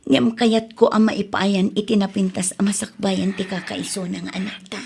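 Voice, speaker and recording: A woman's voice: medium pitch, fairly even intonation, moderate pace, gravelly, darkish tone, breathy edge. A woman playing an earnest young wife. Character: young wife and mother leaving for abroad. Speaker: wife and mother.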